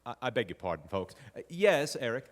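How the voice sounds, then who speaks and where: A man's voice: mid-range voice, very animated, brisk, lightly acted. An actor playing a genial, fumbling father who tells Christmas stories in a live stage audio drama. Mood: flustered. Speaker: father and narrator. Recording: live hall recording with long reverb.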